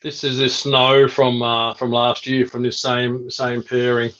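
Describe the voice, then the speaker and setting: Man, medium-pitched voice, casual unscripted chat, hesitant, matter-of-fact, slightly dull lo-fi recording. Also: little pitch movement, a little slow, a bit bright. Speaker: reptile breeder.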